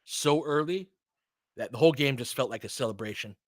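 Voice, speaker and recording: A man giving casual, analytical basketball commentary off the cuff, thinking aloud in a halting, conversational way. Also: mid-range voice, no echo, clean studio sound. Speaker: audio play-by-play host and basketball analyst. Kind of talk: casual off-the-cuff sports commentary, answering listeners.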